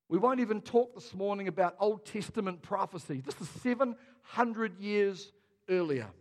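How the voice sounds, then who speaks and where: A man preaching a sermon as pastor, rousing and calling out questions to his congregation. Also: high-pitched. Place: large church hall. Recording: long hall reverb.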